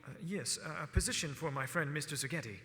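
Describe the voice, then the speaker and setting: An actor playing a proud, idealistic inventor in a stage musical, speaking formally and earnestly. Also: male voice, a little high, quick pace, bright, forceful tone. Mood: grateful, hesitant. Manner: formal, precise, courteous. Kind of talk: musical theatre stage acting. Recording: reverberant hall, music behind.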